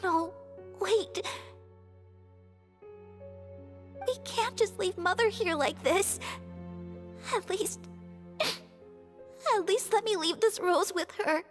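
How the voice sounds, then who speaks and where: A gentle young lady from a video game. Her very high voice is soft, polite and earnest, set in a long reverb with music behind it. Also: takes it slowly, pauses often. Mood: grieving. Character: gentle, polite young lady playing a tender-hearted daughter. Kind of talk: scripted video game voice acting.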